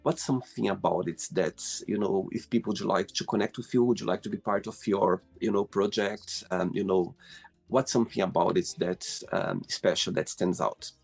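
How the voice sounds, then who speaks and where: A man's voice: deep voice, fairly even intonation, moderate pace, vocal fry, darkish tone, husky, faint music behind. A man with a Brazilian accent hosting a casual interview, effusive and full of "you know" fillers. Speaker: host.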